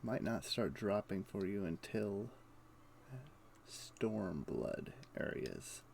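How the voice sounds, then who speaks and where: A man with a low, fairly mellow voice chats casually through his game stream at an unhurried pace. His pitch moves around freely as he mutters and explains, with faint noise behind him. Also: lively intonation, gravelly. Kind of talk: casual gameplay commentary.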